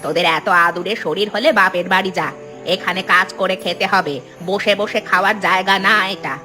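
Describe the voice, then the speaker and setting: A woman's voice: mid-range voice, fairly even intonation, a little fast, bright, forceful tone, soft background music, natural voice. A woman voicing a harsh, bossy older mother-in-law in a village cartoon, scolding and commanding. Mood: stern scolding. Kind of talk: cartoon voice acting.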